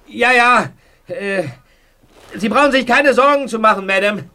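A man's voice: high voice, measured pace, pushed and bright, full character voice. An actor playing a defensive, cheeky young man in a German audio drama. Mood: flustered. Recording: music behind the voice.

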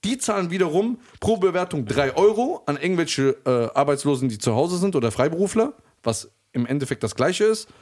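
Young male podcast host, joking storyteller, animated and a bit high. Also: fairly quick, few pauses. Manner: joking storyteller, laughs through lines. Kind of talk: casual banter.

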